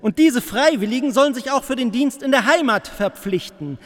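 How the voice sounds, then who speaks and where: Indignant high-pitched revolutionary. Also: male voice, lively intonation, fairly quick, a bit bright. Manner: declamatory, accusing. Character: indignant, combative revolutionary politician. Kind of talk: German radio play acting. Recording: reverberant room.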